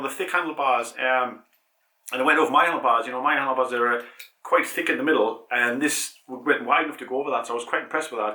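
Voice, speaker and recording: A man's voice: mid-range voice, sharp tone, reverberant. A British man with a head cold hosting a casual cycling product review, rambling with many ums and restarts. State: congested with a cold. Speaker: cycling channel host.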